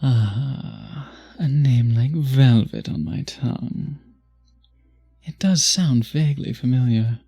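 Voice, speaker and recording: A man voicing a charming, seductive vampire in a romantic ASMR roleplay, husky and breathy with a courtly, teasing manner, often dropping to a whisper. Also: mid-range voice, dark tone, faint music behind, heavily acted. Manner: slow, courtly, teasing, flirtatious. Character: charming, seductive male vampire at a masquerade ball.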